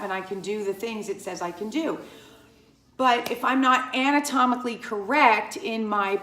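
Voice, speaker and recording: A woman's voice: medium pitch, a bit bright, echoey room. A woman of about fifty, working as a Pilates instructor, talks to the camera in a chatty, rambling way, often restarting herself and going off on asides. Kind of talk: casual talking-to-camera vlog.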